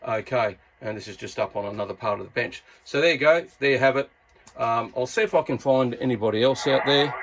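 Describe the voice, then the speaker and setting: A man's voice: mid-range voice, brisk, noisy background. A man with an Australian accent gives a casual, hands-on radio gear review as a hobbyist, talking in a laid-back, colloquial, blokey way.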